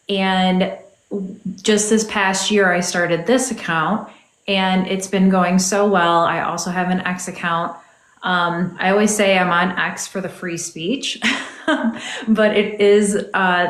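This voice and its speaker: A woman's voice: mid-range voice, echoey room. A woman political commentator talking casually on a video call, chatty, with frequent laughs. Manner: chatty, laughs often, many fillers. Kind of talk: casual chat.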